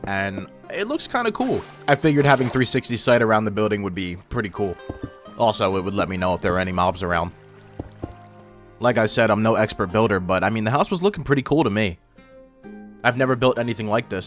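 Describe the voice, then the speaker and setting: A young man with a filtered voice hosting a gaming video, narrating his play in an informal, self-deprecating way. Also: on the low side. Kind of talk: casual scripted gameplay narration. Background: background music.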